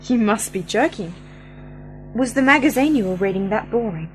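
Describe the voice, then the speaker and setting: A woman's voice: fairly high pitch, lively intonation, unhurried, soft background music, low-quality recording. A woman playing a teasing young companion to her uncle in an amateur radio play.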